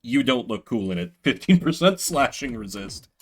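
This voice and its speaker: A man doing live game commentary, excitable and casual, swearing freely and reading game text aloud. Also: mid-range voice, moderate pace. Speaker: game streamer.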